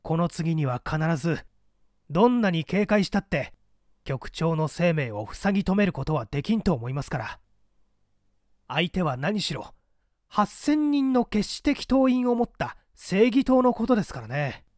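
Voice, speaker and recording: A man voicing a calm, shrewd police detective who reports methodically and politely in a dramatized audiobook. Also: high-pitched, unhurried, dry recording, clean studio sound. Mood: urgent, earnest.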